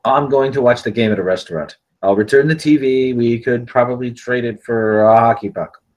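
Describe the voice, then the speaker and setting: An actor playing a gruff, irritable working father at a script table read, stammering and curt. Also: mid-range voice, fairly quick, a little mellow, lo-fi sound, close to an everyday voice. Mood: sarcastic.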